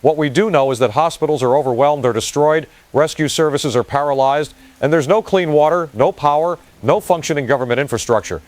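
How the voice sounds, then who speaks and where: A man's voice: fairly high pitch, brisk, hardly any pauses, a little mellow, dry recording, lightly acted. An actor playing a shaken male field correspondent reporting in an urgent, grave manner. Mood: grave urgency. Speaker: news correspondent. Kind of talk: audio drama news report.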